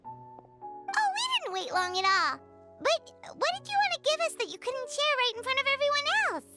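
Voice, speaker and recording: A woman voicing a tiny, childlike fairy companion in a video game, squeaky and eager, with a cheerful temper. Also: very animated, normal speed. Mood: cheerful, curious. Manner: refers to self in third person, eager. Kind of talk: animated video game voice acting. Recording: loud music behind the voice.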